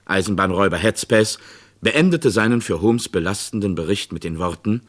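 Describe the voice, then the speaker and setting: Sober male narrator, lowish voice. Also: lively intonation. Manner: sober, measured, documentary-like. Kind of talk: scripted narration of a true-crime radio play.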